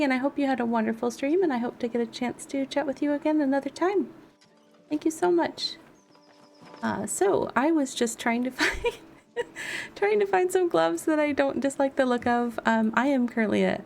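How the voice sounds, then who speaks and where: A woman's voice: fairly high pitch, creaky, darkish tone. A woman live streaming a game, chatting casually and thinking aloud as she plays. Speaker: live streamer, gaming content creator. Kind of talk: casual livestream gameplay chat. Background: music playing faintly behind the voice.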